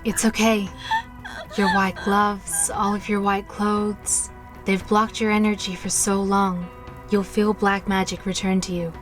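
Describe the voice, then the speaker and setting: A woman playing a gentle, reassuring young witch in an audio drama, soothing and coaxing. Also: medium pitch, level tone, unhurried, close to an everyday voice. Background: music.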